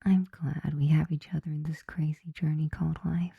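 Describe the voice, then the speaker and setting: A woman playing a flirty, softly dominant, affectionate vampire roommate in an intimate ASMR roleplay, breathy and often whispering, teasing and tender. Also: deep voice, steady tone, moderate pace, no echo, phone-quality sound, heavily acted. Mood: tender, soothing.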